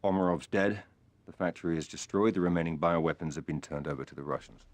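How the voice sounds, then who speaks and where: An actor playing a cool, dry-witted British secret agent in a video game cutscene, with clipped, understated delivery. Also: male voice, sits low, steady tone, quick pace, no echo, lightly acted. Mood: resolute, businesslike.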